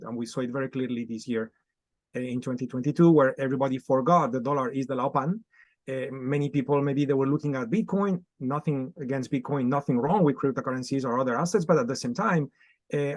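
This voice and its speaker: A man's voice: medium pitch, dry recording. Male trader explaining on a video call. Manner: explanatory, frequent fillers and restarts. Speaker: guest trader. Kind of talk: podcast interview.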